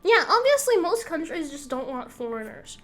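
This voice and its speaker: High-voiced young woman streamer, blunt. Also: big pitch swings, normal speed, soft background music. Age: young adult. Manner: candid, blunt, swears casually. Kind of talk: casual stream talk.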